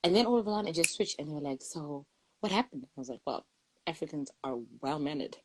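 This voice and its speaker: A young woman from Zimbabwe in casual conversation, telling her own experiences with lively storytelling and quoted voices. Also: sits low, fast, breathy edge, poor audio. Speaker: guest sharing experiences.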